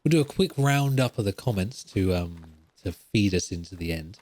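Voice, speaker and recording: A man's voice: low voice, lively intonation, normal speed, darkish tone. A man hosting a gaming podcast, talking in a casual, rambling, self-deprecating way as he thinks aloud.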